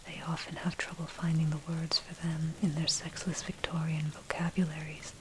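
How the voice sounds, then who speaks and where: Female ASMR narrator, breathy whisper, flat low pitch, measured scripted reading, rain and thunder noise behind. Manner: measured, deliberate scripted delivery. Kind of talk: whispered ASMR reading of a dark history essay. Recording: noisy track with rain and thunder. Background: rain and thunder ambience.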